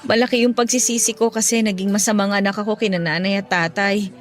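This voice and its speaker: A woman's voice: mid-range voice, steady tone, fast talker, soft background music, natural voice. A woman playing a remorseful, long-suffering single mother and younger sister in a radio drama, speaking in a plaintive, confessional way. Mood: regretful, sorrowful.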